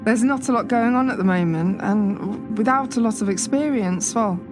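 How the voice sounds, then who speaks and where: Radio play: female actor as reserved young woman, courteous, hesitant, slightly high pitch, music bed. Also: normal speed, lightly acted. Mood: apologetic, grateful. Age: young adult. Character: polite, hesitant young woman newly out of college. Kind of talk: audio drama acting.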